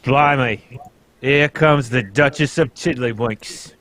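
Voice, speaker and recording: A man's voice: medium pitch, slow pace, a bit bright. A man playing a cheeky, menacing working-class London street loafer in a radio drama, mocking and slangy. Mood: mocking, threatening. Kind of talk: old-time radio drama script read live.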